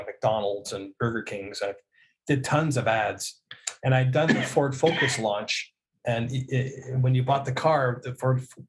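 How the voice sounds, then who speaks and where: A middle-aged man from Canada telling casual stories on a video call, acting out bits of dialogue as he goes. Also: mid-range voice. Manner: animated storyteller who acts out dialogue. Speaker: guest, music producer and audio mixer. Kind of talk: casual anecdotal storytelling. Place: indoor room on a video call.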